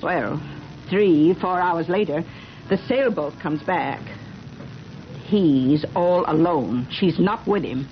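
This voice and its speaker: An actress playing a determined, chatty middle-aged small-town busybody in a 1970s radio drama. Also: female voice, on the low side, slow. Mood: insistent, suspicious. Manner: chatty, insistent. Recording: muffled, noisy vintage radio broadcast.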